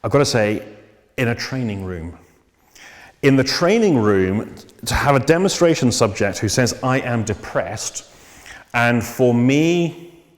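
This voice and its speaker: A man teaching about psychotherapy in a measured, thoughtful way, pausing often with ums and restarting his sentences. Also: medium pitch, lively intonation. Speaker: psychotherapy trainer. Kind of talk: unscripted lecture on therapy. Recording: long reverb.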